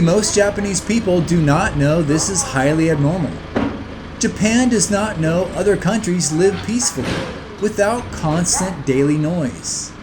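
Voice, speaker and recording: A man's voice: sits high, noisy background. A man delivering scripted opinion narration in a blunt, emphatic, scornful manner. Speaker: video narrator.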